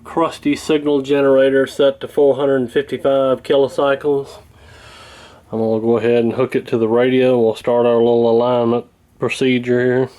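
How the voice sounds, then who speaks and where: A man's voice: medium pitch, flat delivery, normal speed, mellow. A man talking casually and hesitantly through a hands-on repair, in a folksy, unscripted way. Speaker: radio repair hobbyist, presenter.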